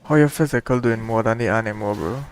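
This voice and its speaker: Creaky-voiced casual gamer. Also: mid-range voice, fast talker. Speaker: game player reacting to story. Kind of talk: casual reaction commentary.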